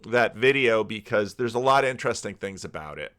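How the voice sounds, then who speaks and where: A man giving casual, unscripted commentary in a rambling, halting way, often restarting his sentences. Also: on the low side, animated, a bit bright, clear, resonant tone. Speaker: YouTube commentator and pastor.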